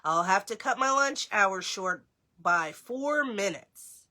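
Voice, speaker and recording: A woman's voice: mid-range voice, expressive, slow pace, sharp tone. A woman voicing a grand, self-assured male orchestra conductor from a storybook, genial and a little pompous. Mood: refreshed, brisk.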